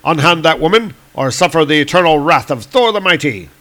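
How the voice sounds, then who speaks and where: A man voicing a mighty male thunder god hero, declaiming in a loud, archaic heroic style. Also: fairly high pitch, lively intonation, normal speed.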